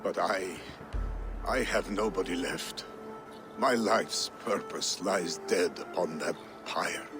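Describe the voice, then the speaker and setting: An actor playing an old, loyal Viking counsellor, grave and grieving, in solemn game cutscene dialogue. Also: male voice, sits low, slow, over music. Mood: resigned, grieving. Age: older.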